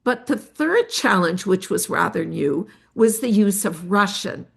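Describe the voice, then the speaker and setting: An older woman researcher giving an academic presentation of study findings, measured and pausing often with fillers. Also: mid-range voice, lively intonation, creaky, breathy edge. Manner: measured, with frequent filler pauses.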